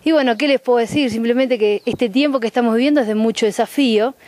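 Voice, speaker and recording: A young woman with a Uruguayan accent speaks as a youth delegate. Her voice sits fairly high, and she talks informally to encourage others, out in the open air. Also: fast, warm tone. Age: young adult. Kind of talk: informal motivational greeting. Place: outdoors in a garden courtyard.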